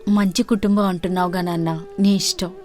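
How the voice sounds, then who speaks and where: A woman voicing a bold young village bride in an animated story, earnest and protesting. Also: mid-range voice, brisk, faint music behind, natural voice.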